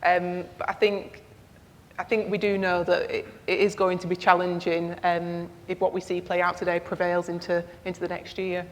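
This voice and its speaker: A woman with a low, flat, even voice speaks on a panel, measured and careful with many pauses and fillers. A long hall reverb trails behind her words. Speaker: lender panelist. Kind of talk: panel discussion. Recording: echoey hall.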